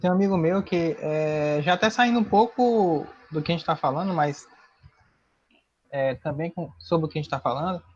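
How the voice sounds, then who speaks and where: A man with a distinctly high voice and a Brazilian accent, talking in a loose, rambling, informal way. The online call audio is somewhat muffled and lo-fi. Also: normal speed. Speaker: participant in an online discussion. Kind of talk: casual anecdote.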